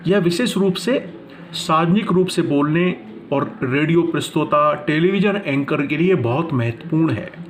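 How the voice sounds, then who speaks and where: A man delivers a prepared lesson in a fairly high voice. He explains each point deliberately and with emphasis, like a teacher. There is faint noise behind him. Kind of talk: scripted lesson narration with explanations.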